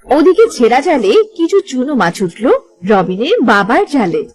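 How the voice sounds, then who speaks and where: A woman's voice: fairly high pitch, very animated, moderate pace, darkish tone. A woman reading scripted narration for a cartoon story.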